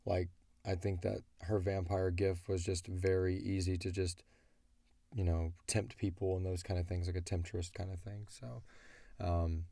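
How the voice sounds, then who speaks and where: A man's voice: sits low, level tone, unhurried, vocal fry, dark tone, no room sound. A man talking informally as a co-host, explaining things in a rambling, casual way. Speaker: co-host and show creator answering listener questions. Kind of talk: casual chat about an audio drama.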